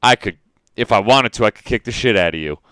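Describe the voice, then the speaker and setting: A man voicing a sly, smirking fey man who lays low, with a halting, folksy Southern American drawl in a tabletop game. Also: lively intonation, a bit bright, smooth, no echo. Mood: indignant, pleading.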